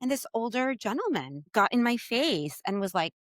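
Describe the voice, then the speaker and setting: A woman's voice: on the high side, moderate pace, no echo, studio quality. Candid woman guest telling stories. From United States. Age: adult, childhood in the 1990s. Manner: animated, candid storytelling. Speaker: podcast guest. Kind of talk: conversational interview.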